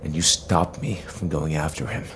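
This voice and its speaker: An actor playing a bitter, stubborn, brooding male vigilante in an audio drama, with a low, gravelly voice and a terse, grim, halting delivery. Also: background noise. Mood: bitter, angry.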